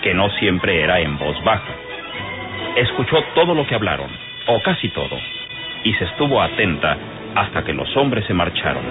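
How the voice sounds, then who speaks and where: Animated radio narrator over music. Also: male voice, low voice, normal speed. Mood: suspenseful. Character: dramatic male radio-serial storyteller. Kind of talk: scripted old radio narration. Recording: tinny old radio recording.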